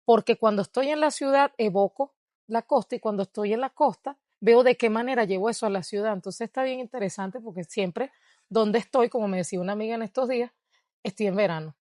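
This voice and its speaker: A woman's voice: a little high, brisk, darkish tone, no room sound. Reflective Venezuelan woman, dry close sound. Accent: Venezuelan Spanish. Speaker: guest, dancer and researcher. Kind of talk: interview conversation.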